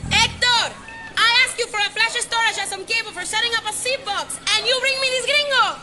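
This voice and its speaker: An actress playing a brash, sarcastic young hacker, snappy and blunt, in an audio drama. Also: female voice, very high voice, a little fast, loud music behind the voice. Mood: furious.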